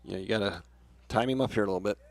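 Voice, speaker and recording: A man's voice: on the low side, racing, creaky. An American man giving casual live analysis as color commentator on a baseball broadcast.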